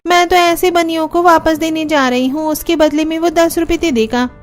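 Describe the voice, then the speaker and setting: A woman's voice: very high voice, fairly even intonation, normal speed. A woman voicing an earnest, helpful young sister-in-law in a Hindi cartoon story. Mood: willing, curious.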